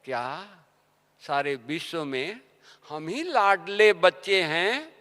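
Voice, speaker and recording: A man giving a devotional sermon, telling stories and asking rhetorical questions, his voice swinging between soft and loud. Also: a little high, expressive, slow. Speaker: spiritual teacher. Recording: long hall reverb.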